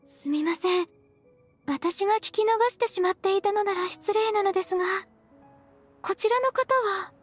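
High-voiced, gentle, polite young lady. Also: moderate pace, soft background music. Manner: soft-spoken, formal, hesitant politeness. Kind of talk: Japanese anime-style game voice acting.

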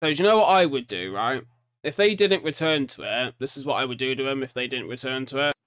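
A British man in his thirties giving chatty, expressive reaction commentary as host, close on a desktop microphone. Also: medium pitch, quick pace, soft background music. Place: small room at home. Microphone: close desktop condenser microphone.

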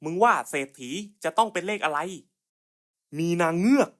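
A man's voice: high voice, animated, moderate pace, noisy background. A man voicing scripted cartoon puzzle skits in casual, slangy, coarse buddy-style banter.

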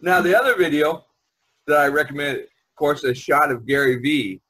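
A man's voice: a little high, a little fast, sharp tone, husky, low-quality recording. A man hosting a casual artists' podcast over an online call, informal and jokey, acting out little quotes as he chats. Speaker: podcast host and editor, artist. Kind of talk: casual chat. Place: online video conference call. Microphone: video call.

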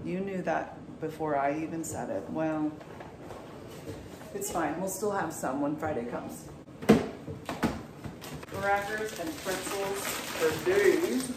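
A woman's voice: low voice, slow pace, pauses often. Chatty woman in an echoey kitchen. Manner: chatty, asks viewers questions. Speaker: vlogger. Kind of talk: casual chat to camera. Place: home kitchen, indoors. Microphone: handheld phone, often set down at a distance. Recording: echoey kitchen, mic far off, noisy.

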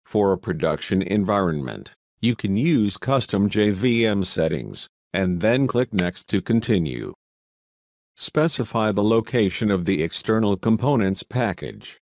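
A man reading a scripted instructional narration in a measured, procedural manner, as a tutorial voice-over. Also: lowish voice, animated, creaky, a little mellow, no echo.

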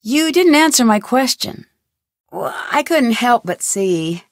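A woman's voice: fairly high pitch, very animated, slow pace, no room sound. An actress voicing a curious young female detective in a video game, asking direct, probing questions. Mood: inquisitive. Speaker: game protagonist. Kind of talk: studio video game voice lines. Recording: dry studio recording.

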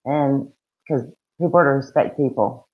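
A woman's voice: very deep voice, normal speed. A middle-aged woman with a Southern US accent, co-hosting a true-crime livestream from home in blunt, folksy casual chat over a webcam. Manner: chatty and blunt, folksy slang. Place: room at home. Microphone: webcam on a video call. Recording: dull lo-fi video call audio.

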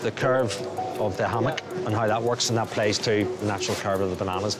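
A man in his fifties explains things as a manager would to a colleague. His voice is on the low side. Music is loud in the background. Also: fairly even intonation, brisk. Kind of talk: workplace explanation. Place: inside a supermarket. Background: prominent music.

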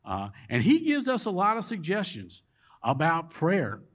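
Older pastor preaching slow in a big church room, voice climbs high then drops way down. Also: male voice, wildly swooping pitch, a bit bright. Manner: emphatic preaching, rising and dropping dramatically. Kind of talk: sermon. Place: large church sanctuary with rows of empty chairs.

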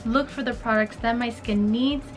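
A woman's voice: a little high, moderate pace, faint music behind. A woman in her twenties with a Dominican accent, chatting animatedly through a skincare review in her bedroom. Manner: animated, talks with her hands, upbeat. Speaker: fashion and skincare vlogger. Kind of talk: casual chatty product review. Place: bright bedroom.

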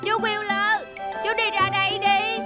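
Kids' cartoon rescue vehicle: very high, cheerful, encouraging, over loud music. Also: female voice, level tone, a little slow. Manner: upbeat, encouraging. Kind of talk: dubbed children's cartoon acting. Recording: loud children's music behind the voice. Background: loud children's music.

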